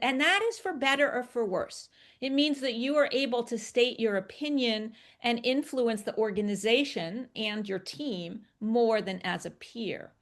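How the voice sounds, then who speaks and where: A woman working as a communication coach, explaining conversationally with examples in an emphatic, informal way and checking in as she goes. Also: a little high.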